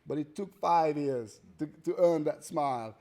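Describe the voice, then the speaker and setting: Mellow-voiced man. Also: on the high side, unhurried. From Hungary. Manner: conversational, often adds "right?" and "you know". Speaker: guest artist.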